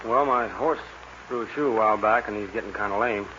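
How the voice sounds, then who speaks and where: Radio western drifter: polite male cowboy, low voice, brisk delivery, muffled vintage recording. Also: sharp tone. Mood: polite, unruffled. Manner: courteous, unruffled, folksy. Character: easygoing, polite cowboy stranger on horseback. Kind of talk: 1940s radio western acting. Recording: dull, lightly noisy 1940s radio recording.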